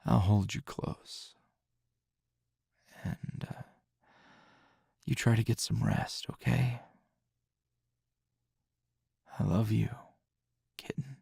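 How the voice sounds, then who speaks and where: A man acts out a protective, gently teasing boyfriend in an intimate ASMR roleplay. His voice is low and thick with gravelly fry, breathy around the edges. He speaks softly and slowly, leaving pauses, as if lulling a lover to rest. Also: steady tone, no echo, studio quality, full character voice. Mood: soothing, sleepy. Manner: slow, soft-spoken, with pauses and soft chuckles. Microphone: close, dry microphone.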